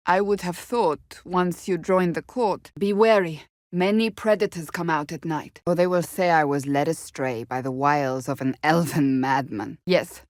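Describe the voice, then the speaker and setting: A woman's voice: deep voice, moderate pace, few pauses, dry recording, studio quality, close to an everyday voice. An actress playing a stern, devout, blunt warrior woman in a video game, speaking formally and earnestly in clipped phrases. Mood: sober, reserved. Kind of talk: video game character dialogue.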